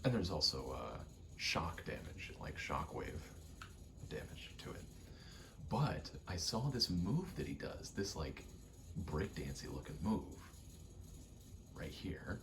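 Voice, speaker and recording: A man rambling casually in a soft ASMR style over video game play, often slipping into a whisper and thinking aloud in short, halting pieces. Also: sits low, very animated, vocal fry, background noise. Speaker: ASMR creator, gamer; actor and stage combatant.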